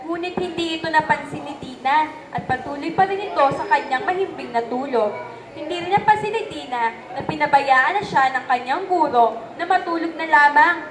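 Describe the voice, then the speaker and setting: High-pitched young woman narrating, echoey. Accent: Filipino. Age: young adult. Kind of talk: scripted story narration. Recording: echoey room, faint background noise.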